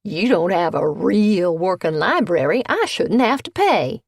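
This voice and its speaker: Grumpy pouty old woman, done by a woman narrator, slow and loud, dry mic. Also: fairly low pitch, very animated, dry recording, full character voice. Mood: cranky. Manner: grumbling, petulant.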